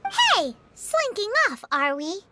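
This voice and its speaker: A woman voicing a tiny, sassy, suspicious fairy companion with a squeaky, childlike voice in a video game. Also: huge pitch swings, slow pace, pushed and bright, slight background noise, exaggerated voice. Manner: sassy, speaks in third person. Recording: game audio with music behind.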